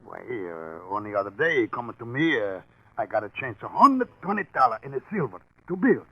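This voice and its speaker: A man playing an obliging, nervous immigrant pool-room owner who speaks husky broken English in a muffled 1940s radio crime drama. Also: mid-range voice, big pitch swings, brisk, no echo. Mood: eager, nervous. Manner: broken English, fawning, hesitant chuckling.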